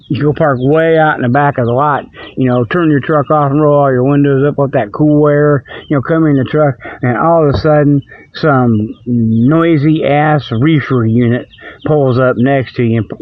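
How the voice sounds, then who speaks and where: A man's voice: mid-range voice, fairly even intonation, moderate pace, no echo. A middle-aged American man hosting a comic show, rambling off the cuff in a folksy, repetitive, colloquial way. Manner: folksy, colloquial, repeats himself, loses his thread. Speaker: host of a comedic radio-style show. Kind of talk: rambling, improvised comic monologue. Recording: dull lo-fi radio-like sound.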